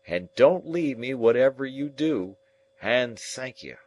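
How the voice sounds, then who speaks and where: A man voicing a dying man raving in terror at a ghost, shrill, frantic and pleading. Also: animated, slow, darkish tone, rough recording. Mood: weak, clinging.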